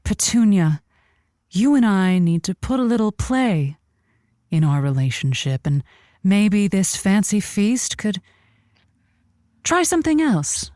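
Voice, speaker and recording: A mid-pitched woman's voice playing a radio love-advice host with theatrical flair. She is daffy and coaxing in a comic style, and the sound is dry. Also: sing-song, takes it slowly, long pauses, a little mellow, no echo. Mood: earnest advice. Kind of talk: comedic radio show acting.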